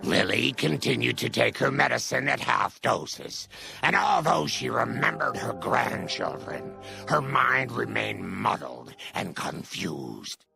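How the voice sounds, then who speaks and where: A man playing a gravelly storyteller narrating a video game epilogue. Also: medium pitch, expressive, takes it slowly, full character voice. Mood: somber. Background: loud music.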